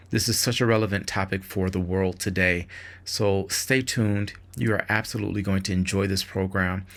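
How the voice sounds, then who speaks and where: Male podcast host, lowish voice, upbeat and enthusiastic scripted welcome. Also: a bit bright. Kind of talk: scripted show opening.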